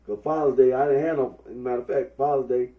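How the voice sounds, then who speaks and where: A young man from with a head cold, talking through a face mask in a rambling, halting monologue full of fillers. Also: medium pitch, fairly even intonation, mellow. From Memphis, Tennessee. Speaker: vlogger. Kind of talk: casual Facebook Live monologue. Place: indoors at home. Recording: echoey room, mic at a distance.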